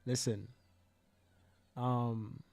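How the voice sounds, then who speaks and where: A man's voice: medium pitch, little pitch movement, very slow, a little mellow. Fiery Jamaican man ranting live. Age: young adult. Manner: fiery, profane ranting with repetitions. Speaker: livestream host. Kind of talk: heated live commentary.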